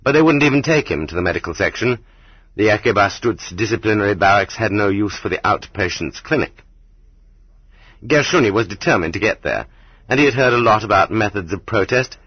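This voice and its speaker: A man reading an audiobook as scripted literary narration, in a measured, dramatic manner. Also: fairly low pitch, lively intonation, husky, no echo. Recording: slightly dull, muffled audiobook recording.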